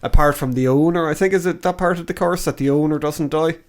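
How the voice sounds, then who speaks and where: A man's voice: on the high side, fast talker. An Irish man co-hosting a podcast in casual chat, rambling, swearing casually and losing his train of thought. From Ireland. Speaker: podcast co-host.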